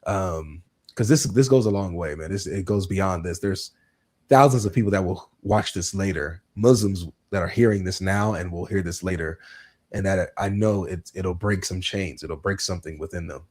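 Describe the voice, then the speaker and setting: A man's voice: low-pitched, moderate pace, a little mellow. A man hosting an informal religious livestream discussion, speaking emphatically and repeating phrases for emphasis. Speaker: livestream host.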